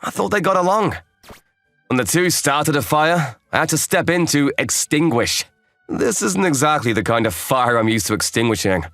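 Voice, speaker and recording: A man playing a calm, kindly young programmer and mentor in an anime-style manga dub, reassuring and easygoing. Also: a little high, normal speed, dry recording, studio quality, faint music behind. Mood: narrating, mildly puzzled.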